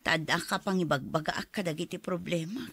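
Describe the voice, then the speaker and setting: A woman's voice: lowish voice, steady tone, a little fast, a bit bright, slightly rough, no echo. A woman acting in an Ilocano radio drama as a hurt, suspicious wife and mother, with an Ilocano Filipino accent. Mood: downcast, confiding.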